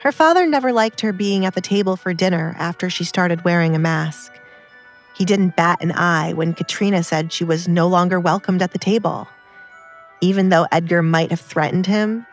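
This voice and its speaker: A woman reads a scripted story as an audiobook narrator. Her voice is on the low side, and quiet music plays behind her.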